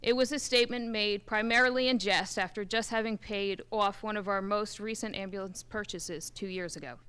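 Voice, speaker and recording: A woman reading a prepared public statement in a formal, measured way with deliberate emphasis, as a representative at a public meeting. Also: mid-range voice, fairly bright tone, clean tone. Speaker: first aid squad representative. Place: public township committee meeting.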